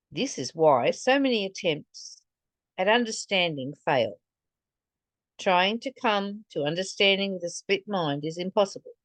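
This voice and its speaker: A woman with a lowish voice reads and reflects on spiritual teachings. She takes her time, speaking deliberately and earnestly as she addresses the listener. The sound is dry, with very little room in it. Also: dry recording. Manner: deliberate, earnest, posing rhetorical questions to the listener. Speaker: spiritual teacher reading and commenting on a text. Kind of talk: reflective spiritual reading with commentary.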